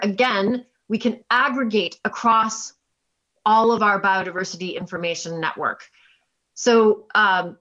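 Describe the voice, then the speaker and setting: A woman scientist giving a keynote presentation in a measured, explanatory way over a video call. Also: mid-range voice, muffled recording. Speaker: keynote speaker and chief scientist. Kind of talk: conference presentation with slides.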